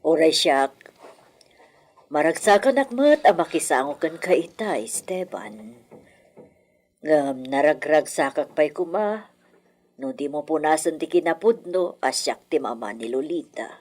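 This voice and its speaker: An actress playing a brooding, secretive elderly wealthy matriarch with a husky voice, in radio drama style. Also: female voice, deep voice, expressive, measured pace, pauses often, darkish tone. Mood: reproachful. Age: older.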